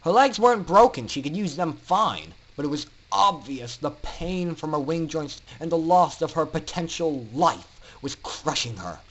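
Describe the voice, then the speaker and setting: A man narrating a story in a theatrical, emphatic style with dramatic pauses. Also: a little high, expressive, a bit bright, poor audio. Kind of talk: dramatic fan-fiction story reading.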